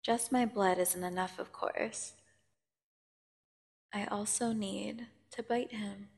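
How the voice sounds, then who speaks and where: Woman acting a creaky slow vampire lady, seductive and mocking, bloodthirsty asmr roleplay, big echoey reverb. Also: medium pitch, fairly even intonation, darkish tone. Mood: cold, ominous. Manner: slow, taunting, condescending, theatrical pauses. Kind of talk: ASMR roleplay audio drama. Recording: long cavernous reverb.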